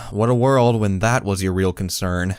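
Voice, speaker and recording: Lowish, earnest young pony narrator voiced by a man. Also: measured pace, no echo, studio quality, close to an everyday voice. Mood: wistful. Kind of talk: first-person story narration.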